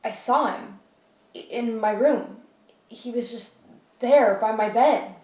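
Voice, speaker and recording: A woman's voice: a little high, slow, a little mellow. Earnest, chatty woman, echoey room. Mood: insistent. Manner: insistent, rambling, self-deprecating. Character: earnest, stubborn, chatty woman who believes in ghosts. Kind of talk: naturalistic film drama acting. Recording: echoey room, distant mic, faint noise.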